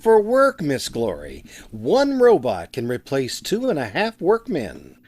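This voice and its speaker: A man's voice: mid-range voice, wildly swooping pitch, measured pace, a little mellow, no room sound. A man playing a cold, blunt factory executive in a 1930s-style radio drama.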